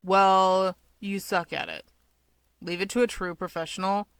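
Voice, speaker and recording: A woman voicing a guarded, irritable young man with a deadpan, sarcastic delivery. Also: medium pitch, unhurried, clean tone, lightly acted. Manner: deadpan, snarky.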